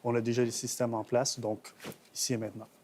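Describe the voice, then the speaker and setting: A male comedian guest speaking earnestly in a mid-pitched voice as he explains his point of view. Faint background noise can be heard. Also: level tone, a little fast, a little mellow. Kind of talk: talk show interview.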